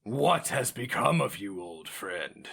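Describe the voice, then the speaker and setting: A man performs a stern, aged hero mage from a fantasy tale. He speaks low and slowly, with grave restraint and cold distrust. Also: very animated, creaky, fairly bright tone, breathy edge, full character voice. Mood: quiet sorrow.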